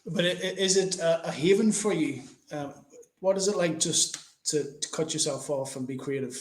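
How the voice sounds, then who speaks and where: A man's voice: fairly high pitch, moderate pace, slight background noise. A man interviewing a guest, with reflective, tentative phrasing. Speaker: podcast host, interviewer. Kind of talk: conversational interview. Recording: echoey, roomy sound.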